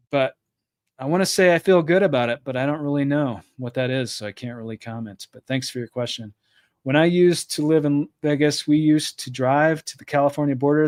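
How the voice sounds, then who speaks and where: A man's voice: fairly high pitch, darkish tone. A middle-aged man hosting a live stream, reading viewer comments aloud and answering them in a friendly, thinking-aloud way. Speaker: podcast host reading live chat.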